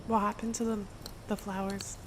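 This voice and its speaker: A woman's voice: mid-range voice, level tone, moderate pace, background noise, lightly acted. A woman playing a hesitant, wistful questioner in a radio play, speaking in a doubtful, sighing manner.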